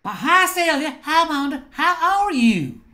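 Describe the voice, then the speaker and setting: A man's voice: very high voice, big pitch swings, pushed and bright, full character voice. An older actor playing a nervous, stammering man in an amateur radio drama. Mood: nervous, hesitant. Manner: halting, stammering. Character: George, nervous stammering male co-worker and friend.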